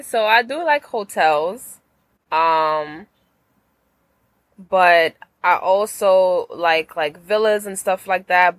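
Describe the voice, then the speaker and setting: A woman's voice: lowish voice, no echo. A woman guest in a casual chat, thoughtful and a bit hesitant.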